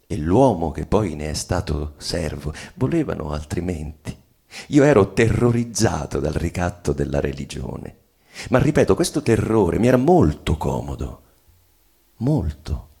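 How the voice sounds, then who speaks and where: A tormented bourgeois husband in a dramatic play reading. The voice is very deep, husky and full of fry, declaiming solemnly and bitterly with weighted pauses. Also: male voice, mellow, heavily acted. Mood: confessional, anguished. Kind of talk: theatrical monologue reading of a stage play.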